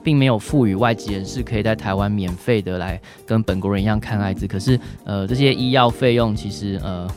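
A man with a Taiwanese Mandarin accent answering questions as a doctor on radio, in halting phrases capped with particles. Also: on the low side, music in the background. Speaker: doctor, expert guest.

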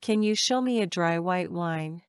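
A woman reading short English phrases for a language lesson, saying each one slowly and carefully twice. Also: fairly low pitch, noisy background. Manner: measured, careful enunciation. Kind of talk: slow repeated drill phrases.

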